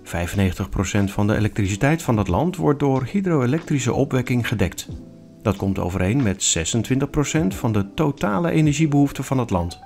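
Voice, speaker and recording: A man reading a scripted travel voice-over in an informative, steady way. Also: medium pitch. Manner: informative, reads facts steadily. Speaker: vlog narrator.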